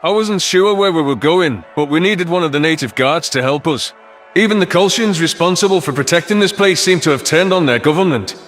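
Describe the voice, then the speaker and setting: Give this a male audiobook narrator with a fairly high voice. He reads scripted first-person fiction dramatically, with faint music underneath. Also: brisk, a bit bright.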